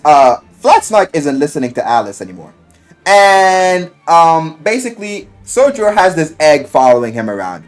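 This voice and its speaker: A man giving a casual, enthusiastic recap of a story, animated and slangy, swearing freely and acting out the quotes. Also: mid-range voice. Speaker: video game and visual novel reviewer. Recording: music playing behind the voice. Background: music.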